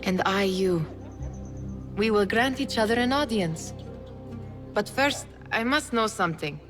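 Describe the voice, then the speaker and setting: A woman's voice: medium pitch, lively intonation, a little slow, close to an everyday voice. An actress playing a wry, determined young woman in a video game drama, speaking formally and with self-assurance. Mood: earnest. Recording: dramatic music behind the voice.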